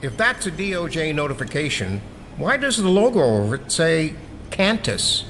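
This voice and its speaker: An actor playing a sardonic, wisecracking middle-aged American conspiracy hacker in an audio drama. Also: male voice, on the high side, big pitch swings, normal speed, fairly bright tone, a little hiss or hum. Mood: suspicious.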